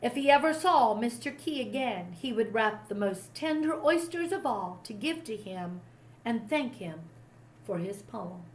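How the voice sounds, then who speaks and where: A woman with a fairly high voice reads a historical children's story aloud. She narrates expressively, as a storybook reader does for young listeners. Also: lively intonation, measured pace, slight background noise, rough recording.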